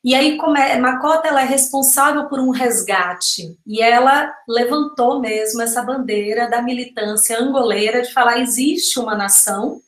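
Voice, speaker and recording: A woman's voice: fairly high pitch, normal speed, hardly any pauses. A woman giving a reflective, explanatory talk as a panel speaker on a video call, often checking in with tag questions. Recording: roomy video-call audio.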